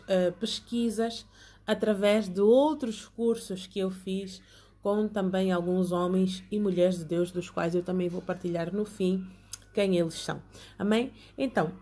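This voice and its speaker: Mellow-voiced woman teaching. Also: mid-range voice. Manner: earnest, checks in with listeners asking amen. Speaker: Bible class teacher. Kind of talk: online Bible lesson, partly read from notes.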